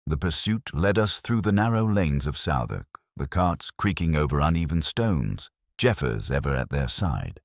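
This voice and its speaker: A man reading a scripted dramatic mystery story in a measured, grave manner. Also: very deep voice, lively intonation, dry recording, clean studio sound. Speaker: audiobook narrator.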